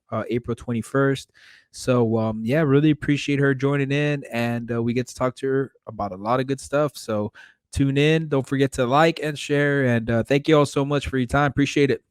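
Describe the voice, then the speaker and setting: Male interviewer, mid-pitched and clean-toned. Casual, loose, rambling phrasing, very little room sound. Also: clear, resonant tone, no echo, studio quality. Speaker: podcast host.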